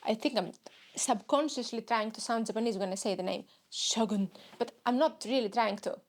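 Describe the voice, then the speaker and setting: A young woman with a Greek accent hosts her podcast in a loose, rambling comic monologue. Her voice sits fairly high, and she mocks herself as she talks. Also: normal speed. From Greece. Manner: rambling, self-mocking, jumps into silly voices. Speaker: podcast host.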